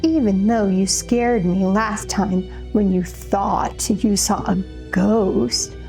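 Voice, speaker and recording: A woman's voice: medium pitch, slow, warm tone, faint music behind. A woman voicing an easily spooked storybook narrator who theatrically warns and questions the listener in a children's read-aloud. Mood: startled, doubtful.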